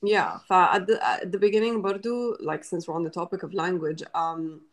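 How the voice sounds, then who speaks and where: A woman in her late twenties with an Egyptian accent speaks in a fairly low voice. She talks in a relaxed, reflective way, restarting phrases and dropping Arabic words into her English, and Arabic is her first language. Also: brisk. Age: 20s. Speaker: podcast host and writer. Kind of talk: casual interview talk.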